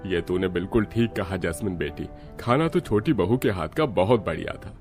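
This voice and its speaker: Low, lively-toned cartoon father-in-law, kindly, faint music. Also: normal speed. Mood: approving. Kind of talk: cartoon voice acting.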